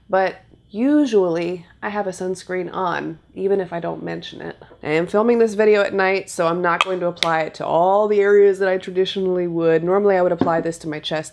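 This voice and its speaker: A woman's voice: lowish voice, darkish tone. A young woman giving a chatty makeup tutorial, sounding nasal from a cold. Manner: upbeat, chatty product demonstration. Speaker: beauty vlogger. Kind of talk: casual makeup tutorial talk. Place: home filming set, indoors.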